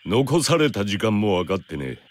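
An actor playing a gruff, curt bounty hunter in a Japanese anime game, speaking in blunt, rough words. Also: male voice, lowish voice, big pitch swings, moderate pace. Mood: curt, irritated.

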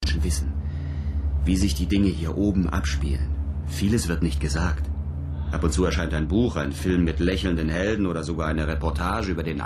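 Very deep-voiced, brooding astronaut played by an actor. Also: male voice, lightly acted. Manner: introspective, poetic, deliberate. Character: weary, brooding male astronaut commander in space. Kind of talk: radio drama acting. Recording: noisy, rumbling spacecraft ambience.

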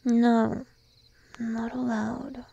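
A woman voicing a shy, needy young sheep-girl girlfriend in an intimate ASMR roleplay, soft, hesitant and drowsy, mostly whispering. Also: on the high side, level tone, gravelly, husky, highs cut off, full character voice. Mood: sleepy, pleading. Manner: timid, hesitant, drowsy, slow and cooing.

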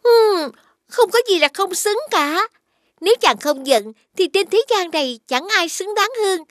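A woman playing a gentle, devoted young maiden in an animated fairy tale, speaking with formal courtesy. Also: very high voice, unhurried, dry recording, clean studio sound, exaggerated voice. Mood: tender. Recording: clear music behind the voice.

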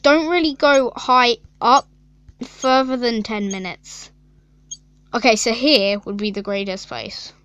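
A woman's voice: fairly high pitch, slow pace, a bit bright, clean tone, no room sound, lo-fi sound. A young female gaming YouTuber chatting casually and rambling through a mobile game, with lots of fillers and giggles.

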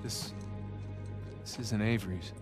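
A man's voice: fairly low pitch, fairly even intonation, takes it slowly, over music. An actor playing a wry, joking male treasure hunter in a video game cutscene, trading dry banter.

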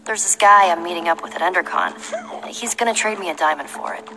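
An actress playing a confident young adventurer in a video game, teasing and self-assured in manner. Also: female voice, on the low side, fast talker, sharp tone. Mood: boastful. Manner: cocky, playful, self-assured. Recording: reverberant cave effect, faint music.

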